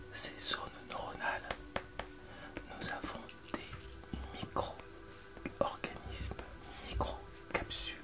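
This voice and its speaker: A man playing a fussy, pedantic doctor in an ASMR roleplay, explaining things in a hushed voice. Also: lightly acted.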